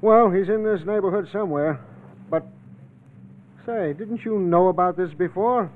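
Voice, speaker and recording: An actor playing a courteous, plain-spoken police officer on a manhunt in an old-time radio drama. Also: male voice, high-pitched, moderate pace, lightly acted. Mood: folksy, probing. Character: folksy, courteous police officer hunting an escaped killer. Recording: tinny vintage radio recording.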